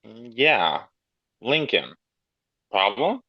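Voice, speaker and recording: Male reader as a brash, crude loudmouth with lively pitch, slightly lo-fi mic. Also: drawn-out words, breathy edge, no room sound, full character voice. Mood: teasing. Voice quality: crude, swaggering banter. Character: brash foul-mouthed grown man, loyal friend. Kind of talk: amateur table read. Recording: slightly dull lo-fi.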